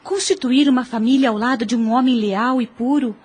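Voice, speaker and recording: A woman's voice: a little high, brisk, darkish tone, slightly rough. A woman with a Brazilian accent acting an affectionate, concerned younger sister with earnest, formal diction in an audio drama. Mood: earnest, hesitant.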